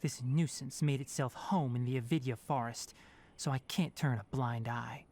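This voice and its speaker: A woman playing a brisk, stern but caring young male forest watcher in a video game, precise and no-nonsense. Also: a bass voice, a little mellow, slight background noise. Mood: resolute.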